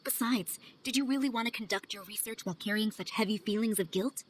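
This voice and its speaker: A woman's voice: medium pitch, fast talker, natural voice. An actress playing a composed, probing female traveler in video game dialogue, persuasive and questioning in manner. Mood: firm, persuasive. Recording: game audio over ambient noise.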